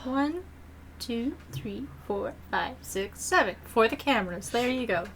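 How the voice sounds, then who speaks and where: A woman's voice: fairly high pitch, expressive, a bit bright, slight background noise. A woman chatting as a player in a tabletop game, often giggling. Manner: giggly and slow-spoken. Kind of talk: casual game chat.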